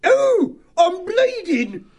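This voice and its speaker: A man voicing an excitable, nervous young otter lad in an audiobook. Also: extremely high pitch, unhurried, voice pushed up, full character voice. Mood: pained. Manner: nervous, exclaiming. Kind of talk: audiobook character voice.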